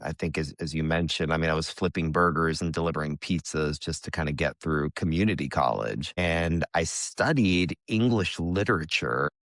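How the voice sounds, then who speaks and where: A man with a low, deep voice and an American accent talks candidly as a podcast guest. His delivery is loose and conversational, with the odd swear word. The recording is close and dry. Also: normal speed, few pauses, fairly bright tone, dry recording. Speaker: podcast guest, real estate investor. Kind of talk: casual interview talk.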